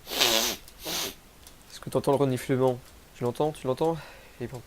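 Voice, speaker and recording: A man's voice: mid-range voice, unhurried, noisy background. A young man from France with a cold, rambling through gameplay commentary, self-mocking and using crude slang. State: has a cold, sniffly. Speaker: gaming youtuber. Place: home, rural.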